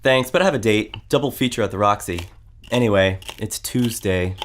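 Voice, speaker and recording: A man's voice: fairly low pitch, moderate pace, slight background noise, natural voice. An actor playing a breezy, teasing male roommate in an audio drama.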